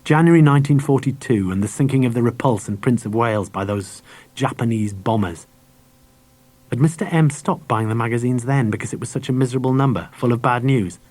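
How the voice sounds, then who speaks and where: A man narrates a children's audiobook in a husky voice. The sound is dry, with very little room on it, and the delivery is that of scripted storytelling. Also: mid-range voice, a little fast, darkish tone, no echo. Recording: dry cassette audiobook transfer.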